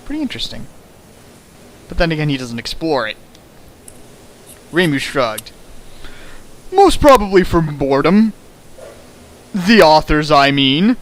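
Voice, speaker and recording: A man's voice: a little high, sing-song, unhurried, pauses often, poor audio. A man reading a fan fiction aloud on a stream and mocking it with sarcastic, exasperated commentary. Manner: sarcastic, exasperated, shifts into mock voices. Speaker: fanfic reader and riffer. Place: home streaming setup.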